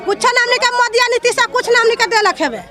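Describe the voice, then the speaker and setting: A woman's voice: very high-pitched, fast, crowd noise. A woman with a rural Bihari accent in a street interview, complaining emphatically and repeating herself as she goes. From Bihar, India. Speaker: villager being interviewed. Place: outdoors in a village settlement.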